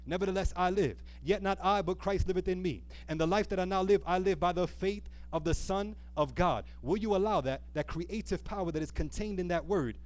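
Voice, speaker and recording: Male preacher, higher-pitched voice, earnest exhortation, rhetorical questions, repeated phrases, deliberate emphasis on key words. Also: a little mellow. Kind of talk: sermon with a closing appeal.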